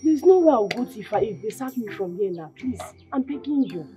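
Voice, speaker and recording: A woman's voice: on the high side, very animated, a little fast, music in the background. A woman with a Nigerian accent playing a frightened young housemaid who pleads and begs in a comedy skit. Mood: pleading. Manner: pleading, begging.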